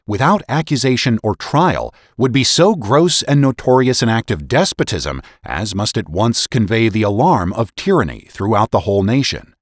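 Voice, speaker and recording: Deep-voiced man reading with sweeping oratorical emphasis. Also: no echo, clean studio sound. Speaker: podcast host and narrator. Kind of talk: dramatic reading of historical political essays.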